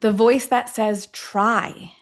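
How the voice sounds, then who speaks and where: Woman guiding affirmations in deliberate phrases. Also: on the high side, fairly even intonation. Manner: deliberate, with long pauses between short phrases. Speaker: guide of a self-affirmation tapping session. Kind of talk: guided affirmation meditation.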